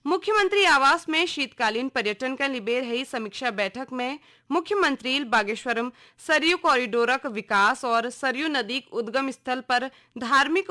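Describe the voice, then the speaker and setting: A woman with a Kumaoni accent reading a scripted regional radio news bulletin in a formal, measured announcer's delivery. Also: high voice, pushed and bright.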